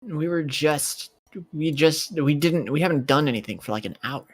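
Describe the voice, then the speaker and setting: A man's voice: on the high side, lively intonation, moderate pace. A man playing a tabletop roleplay, chatting casually in a slangy, sweary way. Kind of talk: casual chat during a game.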